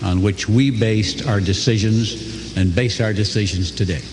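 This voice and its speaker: An older man giving a folksy, earnest motivational speech to a crowd, his pitch swooping for emphasis. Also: unhurried, a little mellow, slight background noise. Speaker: company co-founder speaking to a crowd. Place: large hall before an audience. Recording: echoey hall, faint ambience.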